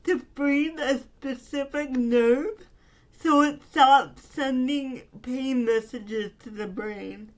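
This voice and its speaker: A woman co-hosting a podcast in casual chat, earnest and affectionate, her voice a bit raspy from talking. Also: sits high. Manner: slow, earnest and affectionate, with frequent fillers. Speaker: podcast co-host.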